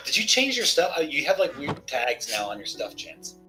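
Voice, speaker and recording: A man in casual chat while gaming online, his voice a bit hoarse, who often clears his throat and rambles in a self-deprecating way. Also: fairly high pitch, sing-song, moderate pace, sharp tone, soft background music, poor audio. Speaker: gamer.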